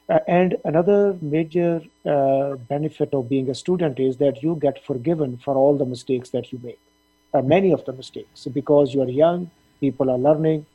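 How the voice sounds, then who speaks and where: A middle-aged man from Punjab, India, a dean giving measured interview answers with frequent fillers. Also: fairly high pitch, mellow, slightly rough, rough recording. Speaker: dean of a veterinary faculty.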